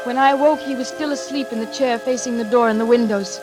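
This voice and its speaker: An actress playing an anxious, trapped daughter in a 1940s suspense radio drama, tense and dramatic, halting when flustered. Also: female voice, a little high, steady tone, close to an everyday voice. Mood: weary, haunted. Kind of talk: 1940s radio acting, first-person suspense narration. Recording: vintage radio drama with music behind.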